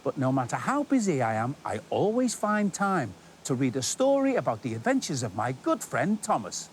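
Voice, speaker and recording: A man hosts a children's story time, talking straight to his young viewers. He is playful and expressive with lively pitch movement, and there is some noise behind him. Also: moderate pace, poor audio. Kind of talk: presenting directly to young children.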